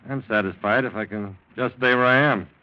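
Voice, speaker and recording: Actor playing a laconic police lieutenant, muffled old radio. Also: male voice, mid-range voice, fairly quick, smooth, no echo, close to an everyday voice. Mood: hesitant, yielding. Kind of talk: 1940s radio drama acting. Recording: dull, lo-fi old radio recording.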